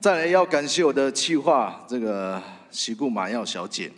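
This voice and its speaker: Male, Taiwanese Mandarin accent, award acceptance speech, earnest and halting, mid-range pitch, long hall reverb. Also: big pitch swings. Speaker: radio host, award winner. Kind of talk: award acceptance speech, partly read from phone. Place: large theatre stage at awards ceremony. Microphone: stand microphone, close. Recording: big hall reverb over PA.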